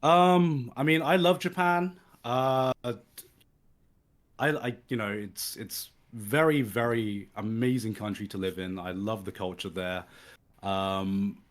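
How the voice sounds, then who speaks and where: A young man with a British accent and a somewhat low voice, speaking slowly and carefully as a guest. He hesitates often, restarting his sentences as he searches for the right words. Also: fairly even intonation. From England. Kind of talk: casual conversation.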